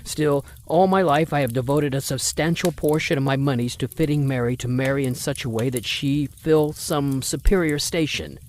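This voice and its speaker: A man's voice: mid-range voice, normal speed, hardly any pauses, slight background noise. A man playing a stern, condescending wealthy father who refuses a suitor, in an old-time radio drama. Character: stern wealthy older father, Mister Spencer. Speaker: voice actor.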